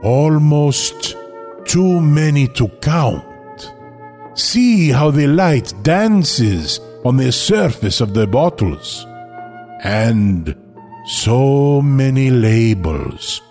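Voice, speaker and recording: Seductive vampire, hypnotic, extremely slow, swooping pitch, comic horror audio sketch. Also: male voice, big pitch swings, darkish tone, soft background music, heavily acted. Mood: suave, hypnotic. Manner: drawn-out, hypnotic, savoring delivery. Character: slow, seductive vampire Vlad hypnotizing his wine-shopping victim.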